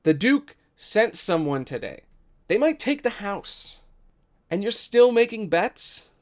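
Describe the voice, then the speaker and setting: A man's voice: sits high, sing-song, takes it slowly, pitched above the performer's own voice, exaggerated voice. A man voicing a frustrated, self-doubting young archer who pleads and hesitates, in a dramatic story reading. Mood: indignant.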